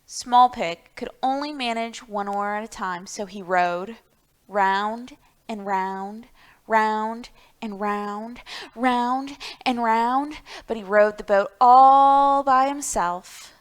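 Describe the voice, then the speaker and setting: A woman's voice: fairly high pitch, slow pace, clean tone. A woman hosting a library storytime, talking to young children and reading picture books aloud with questions and emphasis.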